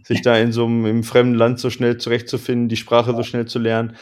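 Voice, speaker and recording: A man's voice: medium pitch, monotone, quick pace, darkish tone. Chatty German interviewer man. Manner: chatty, asks leading questions with "oder?". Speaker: interviewer, podcast host. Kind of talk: casual interview.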